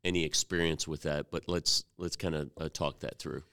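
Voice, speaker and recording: Deep-voiced joking podcast host. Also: male voice, fairly even intonation, normal speed, creaky, pushed and bright, clear, resonant tone. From Cleveland. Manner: joking, affirming with short interjections. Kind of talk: casual podcast interview.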